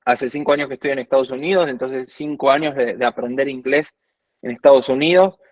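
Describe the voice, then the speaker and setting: A man's voice: mid-range voice, steady tone, a little fast, no echo. Earnest Argentine man, dull dry sound. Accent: Argentine Spanish. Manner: earnest, quotes scripture at length. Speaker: guest worship minister. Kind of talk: conversational teaching.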